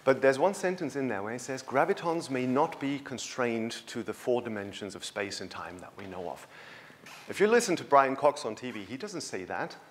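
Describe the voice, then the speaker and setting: A man's voice: medium pitch, animated, normal speed, a little hiss or hum. A man giving a conversational science lecture in a large lecture hall, speaking into a handheld microphone with a storytelling manner.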